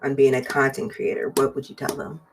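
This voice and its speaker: A woman's voice: a bass voice, completely flat delivery, a little fast, distant mic, faint music behind. A woman in her thirties co-hosting a podcast, chatting easily and asking the guest questions. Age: 30s. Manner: easygoing, asks questions. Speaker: podcast co-host. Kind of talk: casual podcast chat.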